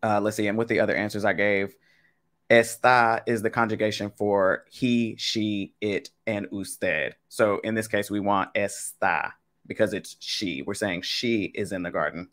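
A low-pitched young man running a live-streamed language quiz from his home setup. He speaks in a loose, chatty way, addressing the chat directly, with a somewhat deep voice. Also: steady tone, measured pace, a bit bright. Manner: casual, chatty, talks to chat with y'all. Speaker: Spanish teacher. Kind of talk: live-streamed game lesson.

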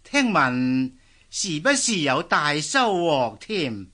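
A man's voice: fairly high pitch, slow, full character voice. A man with a Hong Kong Cantonese accent playing a scheming, obsequious palace eunuch in a historical radio drama. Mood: fawning, coaxing. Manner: obsequious, flattering. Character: scheming, fawning male palace eunuch serving the emperor.

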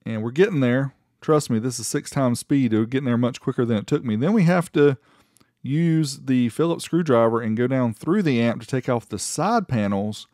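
A man talks through a product review in a folksy, conversational voice-over. His pitch sits in the middle of the male range, and the sound is dry, with very little room in it. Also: moderate pace, a little mellow, no echo. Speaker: host of an amplifier review channel.

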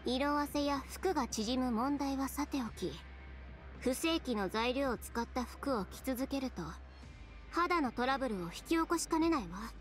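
A woman playing a proud, composed young fashion designer in an anime game, speaking in a cool, measured, matter-of-fact way. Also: sits high, lively intonation, faint music behind. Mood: cool, resolute.

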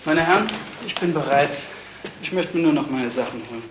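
A man's voice: a little high, brisk, noisy background, close to an everyday voice. An actor playing a bitter, resigned male suspect in a 1970s radio crime play, speaking in a clipped manner. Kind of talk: 1970s German radio crime drama acting.

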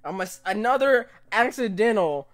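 A young man reacting casually to a video in a small room at home, excitable and often repeating himself. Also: sits high, moderate pace. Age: young adult. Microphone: studio microphone with pop filter.

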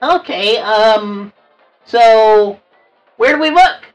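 A woman plays a small, grumpy cartoon devil with a high, whiny voice. The delivery is slow and petulant, full of complaint. The mic sounds a little distant, with faint music behind. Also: expressive. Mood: reluctant. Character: short, grumpy, whiny cartoon devil who hates work. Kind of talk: improvised cartoon roleplay.

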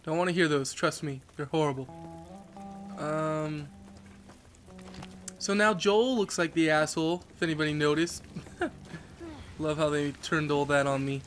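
A man's voice: a little high, a little slow, long pauses, faint music behind. Congested young man rambling over gameplay. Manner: rambling, jokey, self-mocking. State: congested from allergies. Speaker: let's play host. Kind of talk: casual gameplay commentary.

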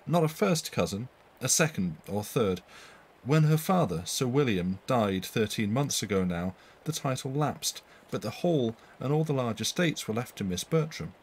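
A man's voice: mid-range voice, expressive, normal speed, husky. A man voicing an inquisitive young country woman chatting in a storybook tale. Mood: chatty.

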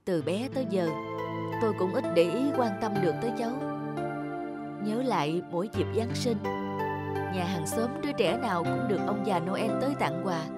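A woman voicing a hardworking, worried mother in a cartoon, speaking softly in a subdued, regretful way. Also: medium pitch, close to an everyday voice. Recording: clear background music.

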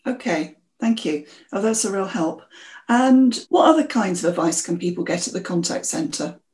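Woman podcast host, friendly, asks clear questions, middle pitch. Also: animated, normal speed, darkish tone. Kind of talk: interview podcast.

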